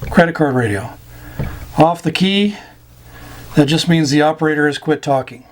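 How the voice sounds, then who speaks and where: An American man giving an informal instructional talk, plain-spoken and pausing between short phrases. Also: fairly high pitch, a little hiss or hum. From United States. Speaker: amateur radio instructor. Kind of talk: informal slide lesson explaining radio slang.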